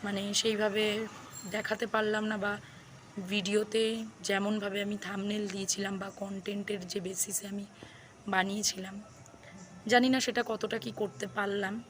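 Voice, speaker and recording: A woman's voice: mid-range voice, level tone, normal speed, pauses often. A young woman with a West Bengal Bengali accent chatting casually to viewers in a vlog, addressing them as friends and mixing in English words. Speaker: vlogger. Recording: faint background noise.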